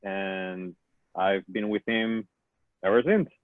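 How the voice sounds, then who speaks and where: A man from Peru, a studio assistant, introducing himself on a call in a steady, matter-of-fact way. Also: low-pitched, slow pace, dry recording. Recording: tinny phone-like line.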